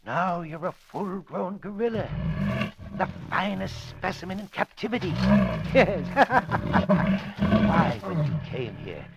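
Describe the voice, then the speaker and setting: A man's voice: sits high, very animated, takes it slowly, vocal fry, heavily acted. An actor playing a doting, eerie zookeeper who croons and chuckles to his animal in an old radio drama. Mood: fond, gleeful. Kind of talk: 1940s radio drama acting. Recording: noisy, muffled old radio recording.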